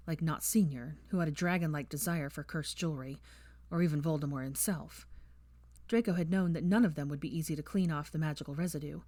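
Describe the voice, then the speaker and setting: A woman narrating a fan fiction audiobook in an even, measured storytelling manner. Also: low-pitched, dry recording. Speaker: audiobook reader.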